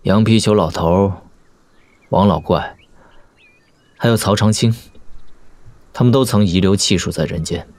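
An actor playing a wry, easygoing young prince in a Chinese martial-arts audio drama, casual and given to sighs. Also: male voice, low voice, a little slow, pauses often, darkish tone, dry recording. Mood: wry, reflective.

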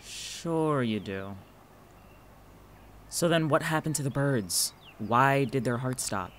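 A man's voice: mid-range voice, lively intonation, slow pace, a bit bright, a little hiss or hum. A man playing a guarded, watchful friend in an audio drama, speaking conversationally with dry, exasperated sarcasm. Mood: curious, unimpressed. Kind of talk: naturalistic audio drama dialogue.

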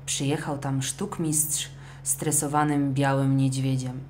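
A woman narrating a scripted children's audio story in an expressive, dramatic way. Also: extremely low pitch, takes it slowly, a little hiss or hum.

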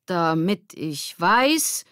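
Unsicherer, frustrierter Junge von einer Frau gespielt. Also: female voice, on the low side, very animated, a bit bright, clear, resonant tone, no echo, studio quality, full character voice. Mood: strained. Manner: halting, emphatic. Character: insecure, frustrated boy with stage fright.

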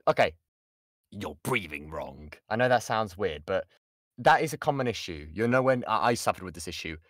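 Low-voiced British man teaching informally. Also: animated, normal speed, dry recording, studio quality. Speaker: voice actor giving a workshop. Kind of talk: informal lesson answering audience questions. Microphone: close mic on an online voice chat.

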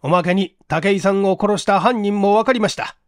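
A man voicing a courteous, earnest police detective chief explaining things in a measured, polite way in an audiobook. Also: sits high, dry recording, studio quality, close to an everyday voice. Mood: grateful, explanatory. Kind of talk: dramatic audiobook dialogue.

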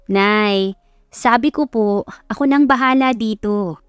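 A woman's voice: fairly high pitch, slow, smooth, no echo, faint music behind. A woman voicing an earnest, respectful young college student, a dutiful daughter, in an audio love story. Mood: earnest, caring.

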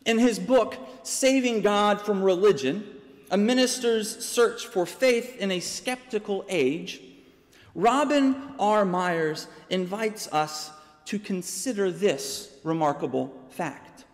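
A young American man, a pastor, preaching a sermon from a pulpit with measured, deliberate pauses. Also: high voice. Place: large church sanctuary, preaching from a pulpit. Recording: long church reverb.

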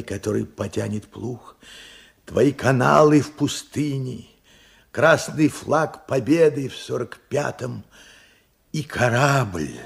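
A man's voice: mid-range voice, vocal fry, slightly rough, full character voice. An actor playing an old grandfather and war veteran in a Soviet radio play, tender, fervent and dramatic. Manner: dramatic, fervent, halting delivery. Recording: vintage radio play with music underneath.

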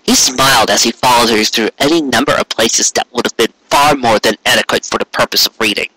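A man's voice: mid-range voice, a little fast, sharp tone, breathy edge, dry recording, rough recording. A man narrating a story expressively, savoring the sentences and now and then stumbling on a word. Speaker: fan fiction reader.

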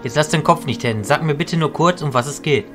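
A man playing a busy, reassuring mentor mage in a fantasy audio drama, calm and measured. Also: on the high side, music in the background, natural voice. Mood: calm, concerned. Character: busy, reassuring male mentor of illusionist mages. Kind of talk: amateur German fantasy audio drama acting.

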